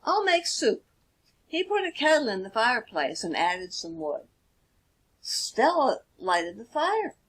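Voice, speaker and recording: A woman narrating a children's storybook expressively, with deliberate, dramatic emphasis. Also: mid-range voice, sing-song, fairly bright tone, husky. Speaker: author reading own children's book.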